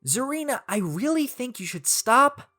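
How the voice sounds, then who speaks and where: Woman voicing a high-pitched, earnest young storybook fairy. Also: sing-song, moderate pace, bright, forceful tone, faint music behind, exaggerated voice. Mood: cautious. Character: earnest, worried young female fairy tinkerer. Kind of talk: storybook character voice.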